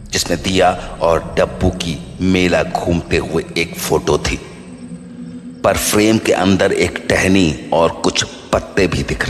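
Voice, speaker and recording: A man's voice: deep voice, slight background noise. A man narrating a scripted horror story as the storyteller. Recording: long reverb over background music.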